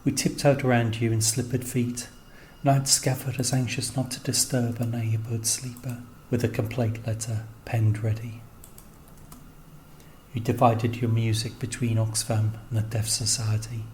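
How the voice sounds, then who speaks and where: Slow, even-toned man reading poetic prose. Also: mid-range voice, slight background noise, rough recording. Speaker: narrator. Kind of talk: scripted literary narration, poetic prose.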